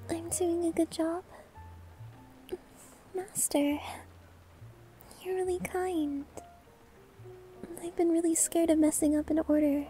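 A woman playing a shy, sweet young maid new to the job, hesitant and gentle, in an anime-style ASMR roleplay. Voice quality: soft, high, girlish. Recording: music behind the voice.

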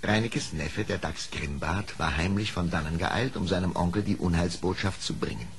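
Deep, gravelly male storyteller. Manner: measured, expressive. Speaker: narrator. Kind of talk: dramatic scripted storybook narration. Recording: faintly hissy old audio play record.

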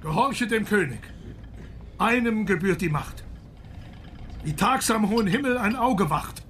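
An actor playing a pompous, rapturous emperor in a radio drama, declaiming in a grandiose manner. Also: male voice, high-pitched, lively intonation, a little slow, fairly bright tone, a little hiss or hum. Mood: solemn rapture. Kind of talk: radio drama acting, verse recitation.

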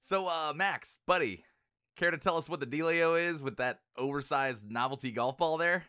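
A man's voice: a little high, moderate pace, sharp tone, smooth. An actor playing a wisecracking, casual male golfer buddy in an anime-style game, slangy and joking.

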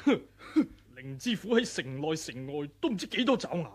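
An actor playing a rough, blustering martial arts brawler in a Hong Kong Cantonese radio drama, threatening and loud in manner. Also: male voice, fairly high pitch, big pitch swings, normal speed. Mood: scornful. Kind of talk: 1980s radio drama acting.

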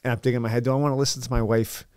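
A man in his thirties from the Bronx with a New York accent, telling his story as a podcast guest in a studio on a boom mic, animated with quick asides. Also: smooth, no echo. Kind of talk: casual storytelling. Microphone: studio mic on boom arm, headphones.

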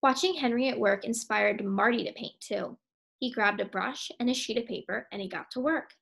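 A young woman reading a children's storybook aloud in an expressive, emphatic way. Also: fairly high pitch, moderate pace, creaky, breathy edge.

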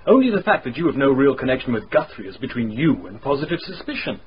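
An actor playing a sharp young gentleman sleuth in a radio mystery, probing and dryly sceptical. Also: medium pitch, very animated, quick pace, natural voice. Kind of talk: dramatic radio acting. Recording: dull, faintly noisy radio recording.

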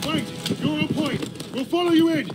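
An actor playing a resolute squad leader in a war video game, terse and commanding. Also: male voice, very high-pitched, normal speed, loud music behind the voice, rough recording. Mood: urgent command. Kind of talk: video game cutscene acting.

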